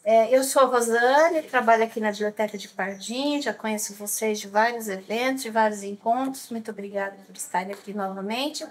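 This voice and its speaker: A woman's voice: fairly high pitch, a little fast, hardly any pauses. A woman with a Brazilian accent hosting a library talk, casually introducing the event and putting questions to a guest. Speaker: library host, interviewer.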